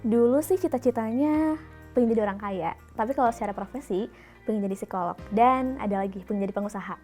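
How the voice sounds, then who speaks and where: A woman's voice: on the high side, fast, a little mellow, faint music behind. A young woman in her early twenties who answers interview questions casually and thinks aloud as she talks. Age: young adult. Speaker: interviewee, video editor.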